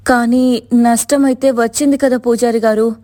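A woman acts out a young vegetable seller from a moral tale, high in pitch and closely, dryly recorded. She is devout and hardworking, speaks with emphatic feeling and has a quick temper. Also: steady tone, mellow, no echo, studio quality, close to an everyday voice. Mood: dejected. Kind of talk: dramatised moral story dialogue.

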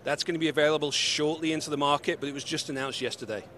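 Man, 40s, mixed British accent, slightly high voice, salesy and upbeat, handheld mic, trade-show booth. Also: fairly even intonation, rapid-fire, fairly bright tone. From United Kingdom. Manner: upbeat, salesy, gesturing while explaining. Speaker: company representative. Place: busy convention hall booth. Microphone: handheld mic held by interviewer.

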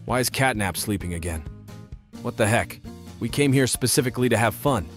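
A man's voice: mid-range voice, expressive, a little fast, soft background music, lightly acted. A man voicing an exasperated, playful cartoon animal friend in an amateur comic dub.

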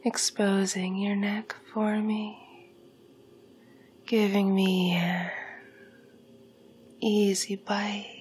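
A woman as a slow whispering vampire. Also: mid-range voice, flat delivery, a little mellow, a little hiss or hum. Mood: hypnotic. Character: seductive female vampire feeding on the listener. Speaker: ASMR roleplay performer. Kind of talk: ASMR roleplay. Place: dark room with backdrop.

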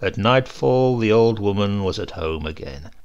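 A man reading a classic short story aloud in a measured, dramatic storytelling manner. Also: fairly low pitch, lively intonation, a little mellow, clean tone, highs cut off. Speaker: narrator.

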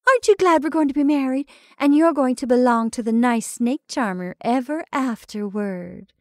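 A playful young woman teases her partner in a quick, sweet, lilting voice, put on by a woman narrator. The read is close and dry. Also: sits high, no room sound, pitched above the performer's own voice, lightly acted. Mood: sweetly teasing. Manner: sweet, teasing. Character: playful young woman dressed as snake charmer.

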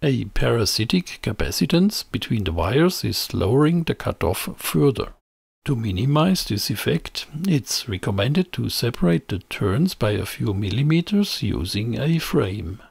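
A middle-aged man reading a scripted technical tutorial in a measured, explanatory way into a close microphone. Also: mid-range voice, sing-song, creaky, breathy edge, no room sound. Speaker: presenter of an electronics tutorial channel. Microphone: close voice-over microphone.

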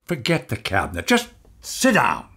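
An actor playing an evasive, placating man with a secret in an audio drama, speaking hesitantly and deliberately. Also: medium pitch, wildly swooping pitch, creaky, pushed and bright, husky, full character voice. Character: evasive, soothing husband hiding an uncanny secret.

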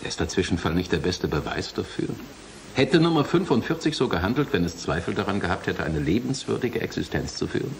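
An assured, impatient official of science in an audio drama. He is precise and assertive, with a lowish voice and expressive melody. There is slight hiss. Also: a little fast, vocal fry, heavily acted. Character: assured, impatient male scientific official defending his experiment. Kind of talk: German science fiction radio drama acting. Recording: hissy audio drama recording.

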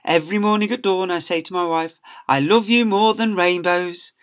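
Man playing a gushing, high-pitched doting dad. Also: steady tone, normal speed, fairly bright tone. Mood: adoring. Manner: syrupy, sing-song baby talk. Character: gushing, weepy doting father teacher, saccharine baby talk.

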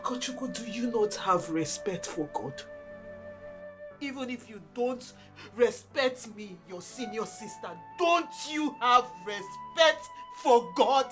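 A Nigerian-accented actress playing a devout older sister who pleads and protests earnestly. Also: female voice, on the high side, animated, slow, fairly bright tone, faint music behind. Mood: indignant.

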